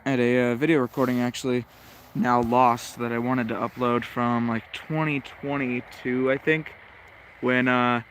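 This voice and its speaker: A man with a flat, even delivery talks casually as a hobbyist at his radio. He rambles hesitantly and corrects himself as he goes. There is faint background noise on the recording. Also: medium pitch, normal speed. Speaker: shortwave radio hobbyist narrating a tuning session. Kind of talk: casual off-the-cuff commentary.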